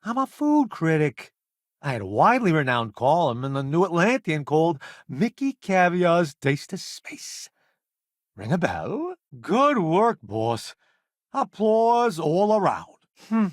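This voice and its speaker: A man's voice: a little high, huge pitch swings, slow pace, no room sound, clean studio sound, full character voice. An actor playing a jaded, showy middle-aged food critic turned hired space crewman in a video game, with wry, flippant, slangy delivery. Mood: boastful, chatty. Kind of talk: video game companion dialogue.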